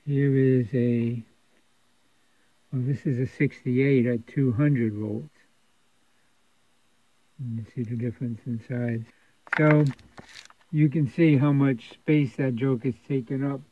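A man's voice: medium pitch, fairly even intonation, takes it slowly, pauses often, a little hiss or hum. Guy rambling through a repair at his bench, chatty and unscripted, tinny phone-like sound. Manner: rambling, conversational explaining. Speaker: radio repair technician presenting a repair video. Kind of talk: casual unscripted workbench narration. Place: indoor electronics repair workshop.

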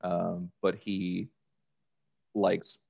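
A man in his thirties chatting casually as a podcast guest, explaining things in a halting way. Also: low voice, flat delivery, gravelly, darkish tone, no room sound, dull audio. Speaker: podcast guest, audio drama actor. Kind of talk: casual chat.

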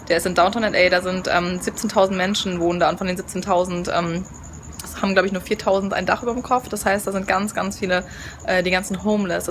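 A woman's voice: fairly low pitch, fairly bright tone. A young woman chatting in a casual travel vlog, bubbly with lots of fillers, filming herself outdoors on a phone held at arm's length. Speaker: vlogger. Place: outdoors by a house and a hotel pool. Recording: outdoor phone audio with background noise.

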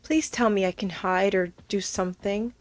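A woman's voice: mid-range voice, fairly even intonation, moderate pace. A woman giving casual, nervous commentary as she plays a horror game, thinking aloud. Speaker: gaming video host.

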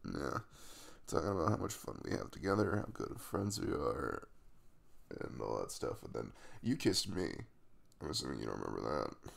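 A man's voice: sits low, gravelly, exaggerated voice. A man playing a caring young crush the morning after a kiss in an intimate ASMR roleplay, speaking casually and hesitantly, with a reassuring manner. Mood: bashful, casual. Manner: hesitant, reassuring, with soft chuckles and trailing pauses.

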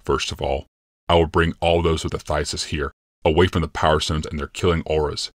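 A man's voice: a bass voice, level tone, fairly quick, no echo, studio quality. A man voicing a towering, zealous emperor who speaks in solemn pronouncements. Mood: eager. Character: grave, towering male emperor obsessed with struggle.

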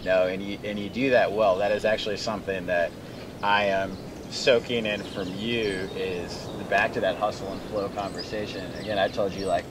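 A man's voice: on the low side, fairly even intonation, moderate pace. A middle-aged man hosting a casual conversational interview as a coach, talking with a friend. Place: outdoors on paddleboards among mangroves. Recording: very noisy outdoor recording with birds. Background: birds and outdoor ambience.

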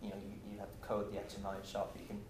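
Young male presenter, lowish voice, loose explanatory delivery full of fillers; roomy lecture hall, light hiss. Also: completely flat delivery, racing, a little mellow. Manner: casual, explanatory, many fillers and restarts. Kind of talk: technical meetup talk. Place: lecture room with projector. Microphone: far camera. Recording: echoey room, faint hiss, from camera.

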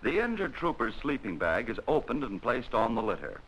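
A man narrating a 1940s military training film in a measured, instructional way, on an old film soundtrack. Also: medium pitch, very animated, fairly bright tone, slight background noise, rough recording.

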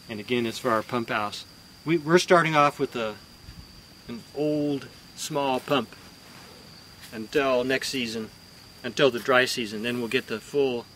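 A man's voice: medium pitch, pushed and bright. An older man giving a casual walking tour of his rural homestead, explaining his methods in a plain, practical, step-by-step way. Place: outdoors on a rural homestead with rice fields around. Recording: outdoor recording with insects and rural noise.

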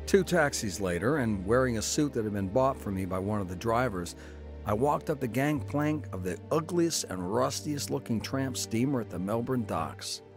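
A man's voice: medium pitch, lively intonation, normal speed, soft background music, close to an everyday voice. Man playing a wary, terse American fugitive. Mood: matter-of-fact. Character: wary, hard-bitten American man on the run. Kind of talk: radio drama acting and first-person narration.

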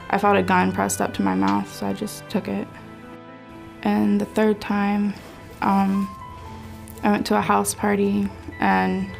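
Young woman with heavy vocal fry. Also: mid-range voice. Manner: halting, soft-spoken, many fillers. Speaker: interviewee in a testimony video. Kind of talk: recorded personal testimony. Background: soft background music.

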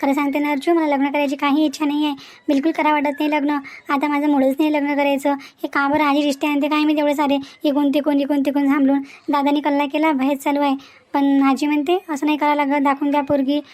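A woman voices a worried young woman in a cartoon family story. Her voice is high and her talk runs quickly, plaintive and confiding. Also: darkish tone, close to an everyday voice. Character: anxious young woman confiding about unwanted marriage. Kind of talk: Marathi animated family drama.

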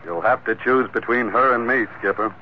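An American actor playing a loyal, joking first mate in a 1940s radio sea adventure. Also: male voice, mid-range voice, moderate pace, close to an everyday voice. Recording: muffled, noisy 1940s radio recording.

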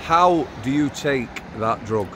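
A man's voice: medium pitch, lively intonation, takes it slowly. Blunt middle-aged man outdoors. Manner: blunt, prompting questions. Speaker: host interviewing a former prisoner. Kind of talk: walk-and-talk interview. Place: outdoors on a street at night. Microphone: handheld mic. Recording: faint street noise outdoors.

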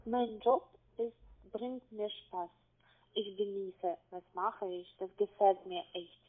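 Woman, foreign accent, call-centre caller, insistent, halting phrasing, thin telephone sound. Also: medium pitch. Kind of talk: phone conversation. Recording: tinny narrow phone line. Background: phone call.

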